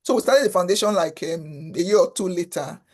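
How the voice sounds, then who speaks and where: Earnest woman guest, halting repeats. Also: on the low side, a little mellow. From Africa. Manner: earnest, repeats words while finding them. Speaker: guest, foundation founder. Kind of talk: conversational interview.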